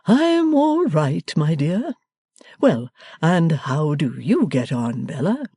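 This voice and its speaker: A woman reader voicing a meek, gentle older father who speaks mildly and slowly. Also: sits low, sing-song, breathy edge, no room sound, clean studio sound, voice pushed up, heavily acted. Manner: mild, slow and soothing.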